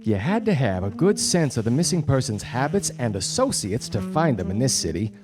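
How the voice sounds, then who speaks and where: Man playing a wry 1940s gumshoe with lively pitch. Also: fairly quick, natural voice. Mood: hard-boiled, narrating. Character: wry, patient 1940s gumshoe detective narrating his case. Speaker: actor playing the lead and narrator. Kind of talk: 1940s detective radio play. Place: theatre stage before a studio audience. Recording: live radio play, music underneath.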